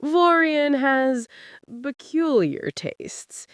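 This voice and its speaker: A woman's voice: sits high, sing-song, very slow, no echo, clean studio sound, voice pushed up, exaggerated voice. Woman acting a drawling, haughty, cold noblewoman. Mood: smug, menacing. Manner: drawling, scornful, menacing.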